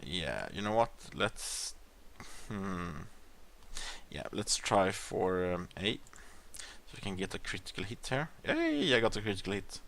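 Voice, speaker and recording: A man's voice: low voice, huge pitch swings, measured pace, gravelly, a bit bright. A man from Sweden with a Swedish accent, casually commenting on his game as he plays, with lots of fillers and restarts. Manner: rambling, hesitant, many fillers and restarts. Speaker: gaming channel host.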